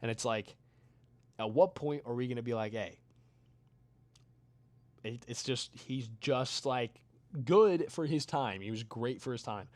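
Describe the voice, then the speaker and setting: A young man chatting casually with his chat while playing a video game. His voice is getting tired, and he rambles, trails off into quiet mumbles, then comes back in short louder bursts. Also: mid-range voice, a little slow, pauses often, no room sound. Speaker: streamer reading chat.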